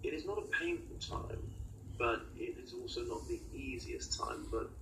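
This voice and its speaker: A man delivering a spiritual channeling monologue as a guide, in halting, oracular short phrases with many pauses. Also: a little high, wildly swooping pitch. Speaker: channeled guide speaking in a session. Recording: roomy, distant mic with clear noise.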